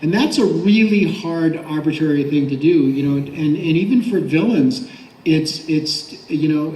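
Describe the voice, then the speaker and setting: A middle-aged man with a slightly high voice talks on a convention panel, picked up at a distance in an echoey room. He rambles casually through anecdotes like an industry veteran. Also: darkish tone. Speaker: voice director and actor, panelist. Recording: roomy convention hall, distant mic.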